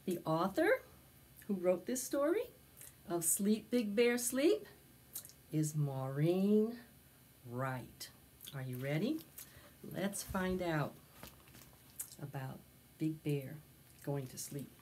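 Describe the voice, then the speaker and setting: Slow, expressive older woman reading storytime. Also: fairly low pitch, a little hiss or hum. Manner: expressive, drawn-out phrasing. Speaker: library preschool storytime host. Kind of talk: read-aloud picture book storytelling for young children. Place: small indoor space with decorated backdrop.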